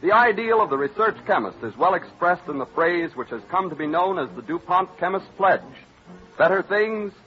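A male announcer speaks in a fairly high voice with wide, sweeping intonation. His delivery is formal, stately and scripted in the manner of old-time radio. The recording is dull, vintage broadcast lo-fi. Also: expressive, normal speed, faint music behind.

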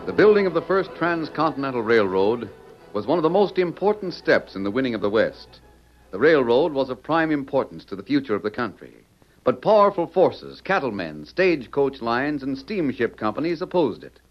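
A man's voice: mid-range voice, very animated, normal speed. Dramatic American radio announcer, muffled, man. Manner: dramatic, declamatory. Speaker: announcer and narrator. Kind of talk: 1940s radio scripted narration. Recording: muffled old radio, music behind.

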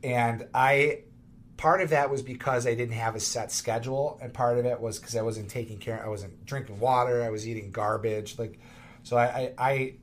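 Tired, halting man in his late twenties. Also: mid-range voice, fairly even intonation, slight background noise. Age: late 20s. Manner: halting, restarts and reflects aloud. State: tired from lack of sleep. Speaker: podcast co-host. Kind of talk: casual chat.

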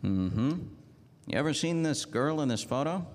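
An actor playing a tough, terse, world-weary police detective narrating a 1940s-style noir radio drama. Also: male voice, medium pitch, expressive, moderate pace, lightly acted. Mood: tough, dry. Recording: radio play over prominent music.

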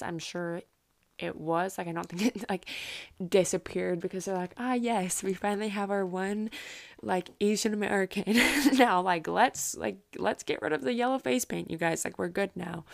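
A woman's voice: fairly low pitch, gravelly. A woman hosting a solo podcast, telling history in a casual, informal way with rambling asides and self-interruptions. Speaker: podcast host.

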